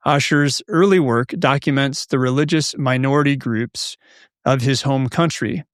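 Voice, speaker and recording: A man hosting a conversational podcast for pastors, reading a measured introduction and then asking questions. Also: mid-range voice, no echo, studio quality. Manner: measured, warm formal welcome, reads intro. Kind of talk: scripted intro then interview.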